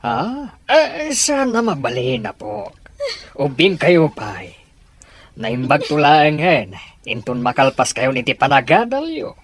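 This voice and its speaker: A woman playing an indulgent, gently authoritative old grandfather in an Ilocano audio drama, with a husky voice. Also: low voice, big pitch swings, takes it slowly, no room sound, full character voice. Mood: surprised, coaxing.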